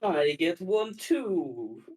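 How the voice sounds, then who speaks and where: A man's voice: sits high, big pitch swings, drawn-out words, a little mellow, rough recording. A man chatting casually with a friend about games, informal and freely swearing. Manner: profane, informal. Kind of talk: casual gaming chat.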